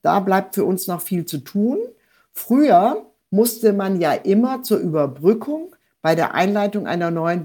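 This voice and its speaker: A woman giving an expert medical explanation in a measured, structured way with technical terms. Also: fairly low pitch, warm tone, slightly rough. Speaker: rheumatologist, professor, expert speaker.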